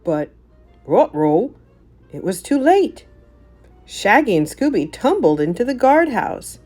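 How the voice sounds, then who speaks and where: A woman narrating a children's storybook aloud in an animated, scripted read-aloud style. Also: fairly high pitch, slow pace, faint music behind.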